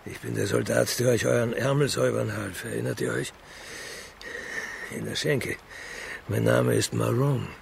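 An actor playing a hearty, roguish soldier with an eye for women in a historical crime radio drama. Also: male voice, medium pitch, fairly even intonation, normal speed, vocal fry, long pauses, a little hiss or hum. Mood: reassuring.

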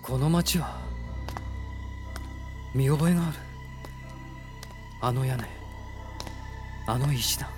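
A man's voice: mid-range voice, slow pace, long pauses, faint music behind, lightly acted. A man playing an earnest, bewildered young prince in a radio drama, with a dramatic, exclaiming delivery. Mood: wondering, bewildered.

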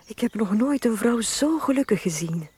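A woman with a Flemish accent acting a gentle, adoring young wife of small stature, full of wonder, in a radio drama. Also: fairly high pitch, expressive, normal speed, husky. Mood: tender awe.

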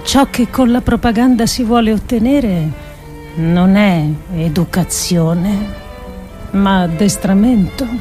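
A woman performing a solemn dramatic reading of an essay, with deliberate, emphatic phrasing. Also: medium pitch, dark tone, soft background music. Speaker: actress performing a radio monologue.

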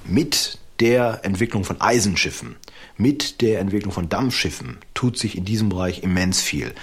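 Low, husky-voiced man explaining as an expert. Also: lively intonation, normal speed. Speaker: expert interviewee, historian. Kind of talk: explanatory interview talk.

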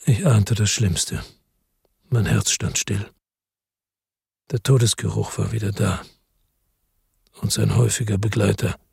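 A man's voice: on the low side, steady tone, a little slow, gravelly, long pauses, darkish tone, breathy edge, no room sound, studio quality. A man narrating a radio drama in the first person, brooding and introspective.

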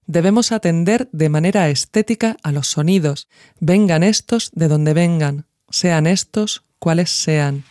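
A narrator reads a scripted audio essay in a measured, reflective way, phrasing each thought deliberately. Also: mid-range voice, a little mellow, dry recording. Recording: music behind the voice. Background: music.

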